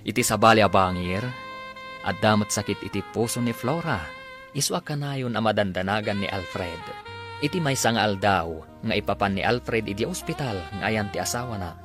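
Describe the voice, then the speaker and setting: A man with an Ilocano Filipino accent narrating a radio drama in a theatrical scripted style with drawn-out emphasis. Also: lowish voice. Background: music.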